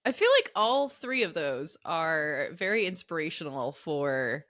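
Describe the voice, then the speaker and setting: A woman's voice: sits low, animated, measured pace, a bit bright, dry recording, clean studio sound. A woman co-hosting a podcast in a friendly, conversational style. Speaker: podcast co-host.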